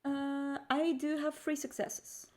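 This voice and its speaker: A woman's voice: sits high, level tone, slow, darkish tone. A woman roleplaying casually as a player in a tabletop game, hesitant and playful in how she talks. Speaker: tabletop role-playing player. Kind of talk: casual in-character roleplay chat.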